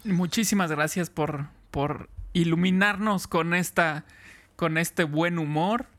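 A man's voice: fairly high pitch, slow pace, fairly bright tone. Chatty Mexican man hosting a podcast. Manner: chatty, friendly sign-off. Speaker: podcast host. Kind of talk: casual chat.

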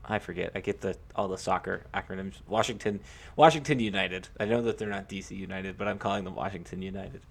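A man co-hosting a casual sports podcast, talking in a halting way with repeated words and lots of "like". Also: sits low, gravelly, husky. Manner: halting, repeats himself, peppers sentences with "like".

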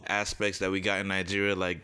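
Young man telling a story slowly. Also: low voice, monotone, pushed and bright, smooth. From United States. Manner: unhurried, lots of "like" and acted-out quotes. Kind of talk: casual storytelling among friends.

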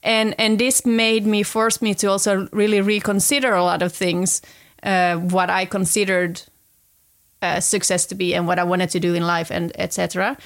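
A woman in her forties talking casually as a podcast guest, with a middling pitch. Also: level tone, normal speed. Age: 40s. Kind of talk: casual chat.

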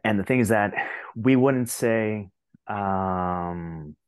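A man's voice: on the low side, takes it slowly, clear, resonant tone, no echo, clean studio sound. A man from Puerto Rico with a Puerto Rican accent, telling stories animatedly as a guest on a podcast interview. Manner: animated storytelling, frequent restarts. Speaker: guest, translator and author. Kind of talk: conversational interview.

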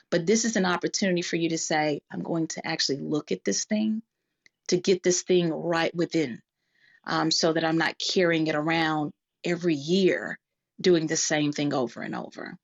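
Woman kinda low voice explaining stuff earnestly in a group talk, muffled lofi audio. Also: normal speed, vocal fry. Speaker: guest speaker in a group discussion.

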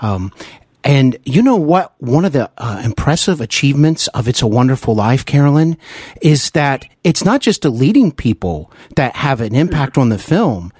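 Animated American man hosting an interview. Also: medium pitch, normal speed, breathy edge, no room sound, studio quality. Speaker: interview host. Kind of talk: conversational interview.

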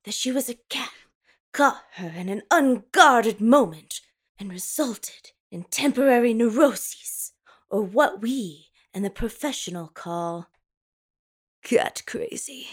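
Casting: woman as self-important female doctor for radio melodrama, flustered, theatrical, unhurried, with big pitch swings. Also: lively intonation, husky, exaggerated voice. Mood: soothing, pompous. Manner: melodramatic, pompous. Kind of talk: campy 1930s radio drama table read.

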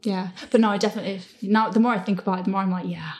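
A woman hosting a casual, giggly chat, animated and often acting out imagined reactions. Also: mid-range voice, rapid-fire, a little hiss or hum. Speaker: host and podcast creator answering listener questions.

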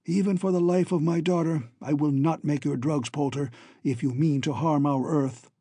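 A man voicing a weary, beaten-down old scientist and father in an audiobook story, resigned and halting. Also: fairly high pitch, fairly even intonation, dark tone, slightly rough, no echo, pitched above the performer's own voice. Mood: defiant.

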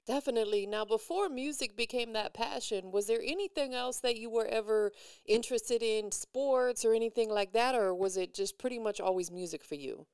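Smooth, clear-voiced woman hosting radio. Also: on the high side, brisk, a little mellow, no echo, studio quality. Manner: slangy, encouraging. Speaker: radio host interviewing a musician. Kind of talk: casual hip-hop radio interview.

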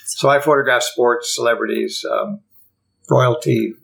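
An older Canadian man being interviewed, rambling and halting with frequent restarts. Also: medium pitch, creaky, a bit bright. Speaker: podcast guest. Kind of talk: casual interview conversation.

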